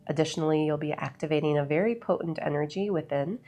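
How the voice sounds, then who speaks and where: A woman leading a guided meditation in a soothing, unhurried way, with measured phrases and long pauses. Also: low voice, mellow. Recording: voice over background music. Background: background music.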